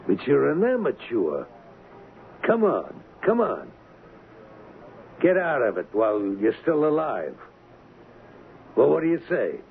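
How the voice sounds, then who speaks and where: A man playing a cocky, wisecracking gangster in an old-time radio crime drama, slangy and taunting, with rough grammar. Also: medium pitch, wildly swooping pitch, slow, pauses often, narrow, tinny audio, exaggerated voice. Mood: cocky, menacing. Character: cocky, wisecracking street-tough gangster hired as an agent. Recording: thin, band-limited, hissy old radio recording.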